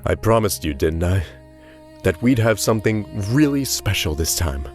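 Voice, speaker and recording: A man's voice: deep voice, very animated, normal speed, soft background music. A man playing a nonchalant, confident organiser of exotic feasts in an audiobook, talking casually. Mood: eager, pleased. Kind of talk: audiobook character acting.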